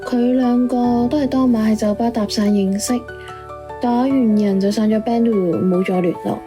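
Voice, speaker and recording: A woman's voice: on the high side, fairly even intonation, normal speed, natural voice. World-weary woman informant over music, acted out. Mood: resigned. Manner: matter-of-fact, resigned. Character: world-weary female informant reporting on her investigation. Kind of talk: radio drama dialogue.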